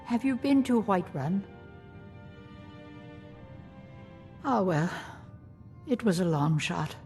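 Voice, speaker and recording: A woman's voice: on the low side, animated, normal speed, faint music behind. A woman playing a courteous, worried motherly herb shop keeper in a fantasy game. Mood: polite welcome. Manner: courteous, gentle, hopeful.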